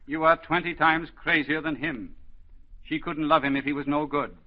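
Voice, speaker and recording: An actor playing a gruff, sardonic older psychoanalyst who scolds and mocks his former pupil, in 1940s radio acting. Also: fairly high pitch, moderate pace, close to an everyday voice. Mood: scolding. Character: gruff older male psychoanalyst mentor, teasing, stubborn. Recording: dull 1940s radio broadcast.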